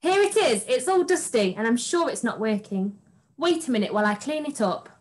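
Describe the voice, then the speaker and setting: Young woman as lively, high-voiced pantomime princess. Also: brisk, natural voice. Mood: pleased. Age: young adult. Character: haughty young pantomime princess, bossy and naive. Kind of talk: script read-through on video call. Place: room at home, video call.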